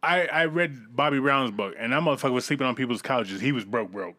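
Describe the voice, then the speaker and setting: A man co-hosting casual podcast banter, quickly chiming in and asking questions. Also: medium pitch, pushed and bright.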